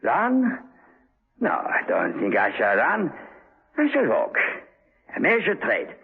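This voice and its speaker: Smug husky radio villain. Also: male voice, medium pitch, huge pitch swings, full character voice. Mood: smug, savoring. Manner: mannered, measured, taunting politeness. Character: smug, cultured imprisoned male villain savoring threats. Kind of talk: 1970s radio drama acting. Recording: muffled husky 1970s radio recording.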